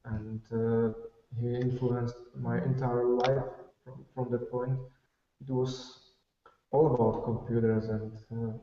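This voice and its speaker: Slow Czech man on a distant call. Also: medium pitch. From Czech Republic. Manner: halting, many restarts, soft laughs. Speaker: guest, software developer. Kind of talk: casual interview on a video call. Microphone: video call. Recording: distant, roomy, dull web-call audio.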